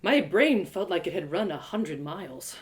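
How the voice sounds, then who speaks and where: A woman storyteller narrates a first-person tale in a live reading. Her pitch moves in wide, animated swings, and her delivery is dramatic and expressive. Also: moderate pace. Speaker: author and storyteller.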